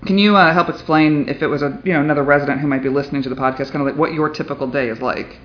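A male podcast host with a voice a touch higher than most, talking quickly. He is upbeat, friendly and chatty as he interviews colleagues in a hospital, and the recording is dull and lo-fi. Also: steady tone. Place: hospital on a surgical mission.

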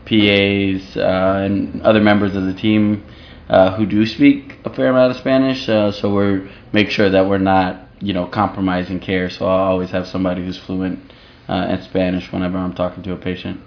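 A young man with a very low, flat voice answers questions about his hospital work. His speech is halting and laid-back, with frequent pauses, and a faint hum sits behind a dull, lo-fi recording. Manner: halting and laid-back, pausing often mid-sentence. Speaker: surgical resident. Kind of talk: interview answers. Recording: dull lo-fi sound with faint background noise.

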